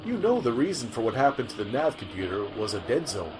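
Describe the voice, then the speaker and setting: A man acting an excitable, pushy sci-fi news reporter. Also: mid-range voice, fast talker, noisy background, natural voice. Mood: incredulous. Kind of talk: amateur comic audio drama narration.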